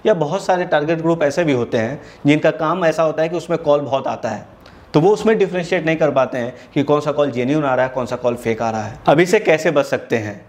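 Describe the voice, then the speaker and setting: A man's voice: fairly high pitch, darkish tone. Indian man explaining in tutorial style. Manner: explains step by step in Hindi mixed with English terms. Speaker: presenter of an educational tech video series.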